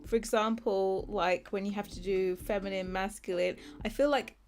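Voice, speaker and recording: Young British woman, mid-pitched, rambling livestream chatter that breaks into laughs, faint music underneath. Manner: rambling, chatty, laughs often, loses her train of thought. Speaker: streamer. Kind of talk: casual chat with livestream viewers.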